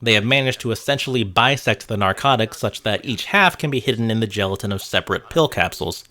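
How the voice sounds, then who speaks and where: A man's voice: fairly low pitch, fast, bright, forceful tone. A man narrating a scripted video essay in a wry, conversational way with casual asides, sometimes casually sweary. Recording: background music under narration. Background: music.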